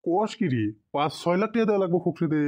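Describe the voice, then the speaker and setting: A man as a high-pitched, scolding cartoon office boss. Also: racing, darkish tone, lightly acted. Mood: scheming. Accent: rural Bengali dialect. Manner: brusque, scolding, condescending. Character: stingy, scheming, bullying male office boss. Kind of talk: amateur cartoon drama dialogue.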